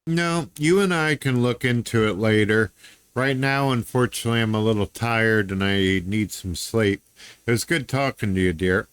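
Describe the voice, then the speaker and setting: A man's voice: lowish voice, moderate pace, fairly bright tone, smooth, no echo. A man from the United States hosting a podcast, chatting in a laid-back way with dry, sarcastic humor. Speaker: podcast host. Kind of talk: casual conversation.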